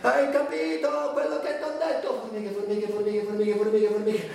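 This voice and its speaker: A man's voice: high-pitched, fairly even intonation, moderate pace, darkish tone. An actor playing a tormented, delirious male addict in a histrionic theatrical radio monologue that swings between brooding and cries. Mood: obsessive, agitated. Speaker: actor and director. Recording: very echoey, distant, faint music behind.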